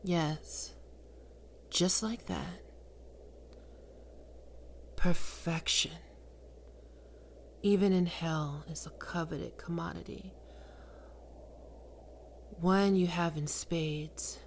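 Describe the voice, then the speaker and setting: A woman voicing a seductive, domineering female demon queen of lust in an ASMR roleplay, speaking slowly and sultrily, often in a whisper. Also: on the low side, steady tone, creaky, a little mellow, a little hiss or hum, heavily acted. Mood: commanding.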